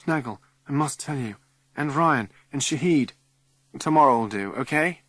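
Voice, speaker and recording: A man's voice: medium pitch, animated, normal speed, fairly bright tone, no room sound, voice pushed up. A male reader voicing an earnest, insistent boy who says he sees a ghost. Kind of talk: audiobook character reading.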